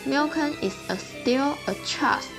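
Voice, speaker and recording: A woman's voice: sits high, slow, over music. A woman playing a businesslike investigator giving a briefing in a radio play.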